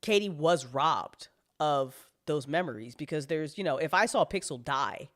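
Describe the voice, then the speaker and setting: A mid-pitched speaker holds forth in a casual live chat as a writer of audio drama. The delivery is rambling and dramatic, full of slang and swearing. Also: lively intonation, moderate pace, fairly bright tone.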